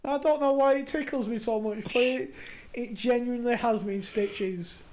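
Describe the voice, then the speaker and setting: Animated podcaster telling stories. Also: mid-range voice, moderate pace, slight background noise. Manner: animated storyteller, swears freely. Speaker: podcast co-host. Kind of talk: casual chat, anecdote telling.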